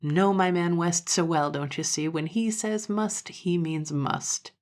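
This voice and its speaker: A woman's voice: on the low side, a little slow, a little mellow, natural voice. A woman voicing a posh, languid young male dandy from a storybook, drawling blustering upper-class slang. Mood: resigned.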